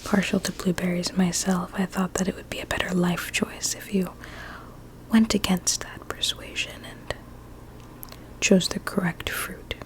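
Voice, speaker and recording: A woman playing a vain, sinister blueberry doctor in an ASMR medical roleplay, speaking in a soft breathy whisper with soothing menace. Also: on the low side, level tone, unhurried, lightly acted, poor audio. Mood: smugly pleased.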